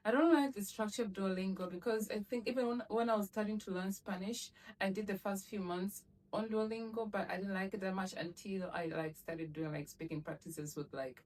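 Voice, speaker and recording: A woman's voice: fairly low pitch, darkish tone, far from the mic, poor audio. A young woman chatting casually to camera as a vlogger, laughing often and filling her talk with "like".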